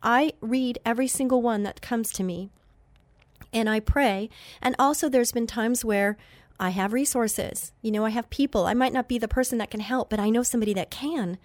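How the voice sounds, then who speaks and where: A woman's voice: on the high side, moderate pace, no room sound. Nasal-sounding woman hosting faith radio. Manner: chatty, earnest, with many fillers and restarts. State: nasal from allergies. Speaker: radio show host.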